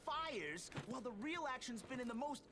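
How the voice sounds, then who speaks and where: A man's voice: extremely high pitch, lively intonation, normal speed, background noise. A man voicing a hot-headed, sarcastic young mutant turtle in a video game, with street-tough slang. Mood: angry. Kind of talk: animated video game acting. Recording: music behind.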